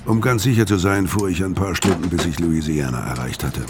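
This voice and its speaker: Deep, weary hard-boiled killer narrating. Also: male voice, brisk, noisy background. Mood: grim, matter-of-fact. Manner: terse, matter-of-fact. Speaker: narrator and lead actor in a radio crime drama. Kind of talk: scripted first-person noir narration in a radio play. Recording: music under the voice.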